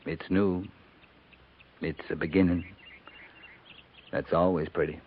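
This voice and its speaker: An actor playing a steady, compassionate frontier cavalry captain in a 1950s radio western, with terse, measured delivery. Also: male voice, deep voice. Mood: gentle reassurance. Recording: tinny, noisy 1950s radio recording.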